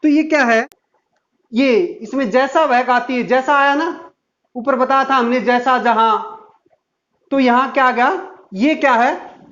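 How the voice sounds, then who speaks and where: A man's voice: very high voice, a little slow, long pauses, a bit bright. A man teaching a grammar lesson, dictating examples word by word and asking and answering his own questions. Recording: dull lo-fi sound.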